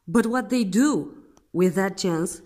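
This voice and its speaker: A woman with a noticeable foreign accent speaks as a company co-founder. Her delivery is blunt and exasperated, with the odd curse. Her pitch swings widely as she presses her points. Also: animated, takes it slowly, darkish tone. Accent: non-native English. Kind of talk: frustrated monologue to workers.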